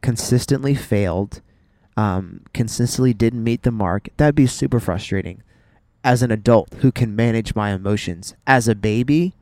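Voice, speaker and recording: A man's voice: on the low side, moderate pace, no room sound. Playful young man, dry close sound. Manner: playful, animated, hesitant "um" restarts. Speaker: podcast co-host. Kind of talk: casual chat.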